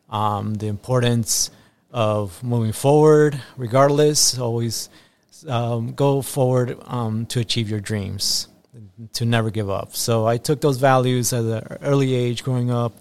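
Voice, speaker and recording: A man's voice: mid-range voice, clear, resonant tone. A man from Ecuador, an assistant principal in his thirties, answering school podcast questions earnestly with frequent ums and repeated phrases.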